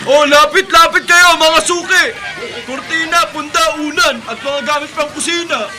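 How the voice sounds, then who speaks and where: An actor playing an eager young male street vendor, hawking loudly in a radio drama. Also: extremely high pitch, moderate pace, background noise. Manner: loud hawking calls. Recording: busy street crowd and music behind.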